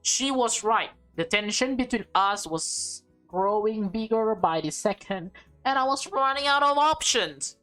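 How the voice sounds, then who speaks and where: A woman's voice: a little high, expressive, a little slow, fairly bright tone, faint music behind. A woman reading a visual novel aloud with casual reactions, stumbling over lines and rereading them. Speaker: game player and streamer.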